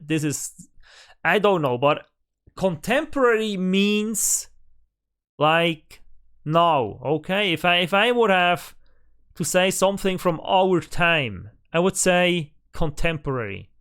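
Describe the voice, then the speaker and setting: A man's voice: high voice, lively intonation, takes it slowly, fairly bright tone, smooth. A man who is a native German speaker, hosting casual game news commentary from home on a desk microphone, thinking aloud with lots of fillers and restarts. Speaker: gaming channel host.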